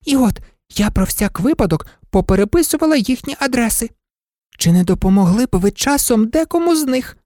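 A woman's voice: on the high side, animated, a little fast, mellow, dry recording, studio quality, voice pushed up, close to an everyday voice. A woman voicing a frightened, chattering old servant woman who pleads and wails. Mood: eager chattering. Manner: pleading, wailing.

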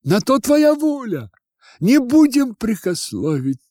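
A man's voice: sing-song, slow pace, darkish tone, no echo, studio quality, heavily acted. A man voicing a cheeky, hungry young seminary student in a storybook reading, pleading and wheedling as he calls out. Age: young adult. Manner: pleading, wheedling, high-pitched calling out.

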